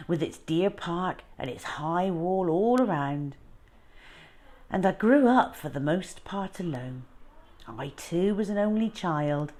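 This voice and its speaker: Woman playing a wistful, slow-spoken old lady. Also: sits low, a little mellow, heavily acted. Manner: measured, reflective, storytelling. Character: wistful old lady recalling her lonely childhood.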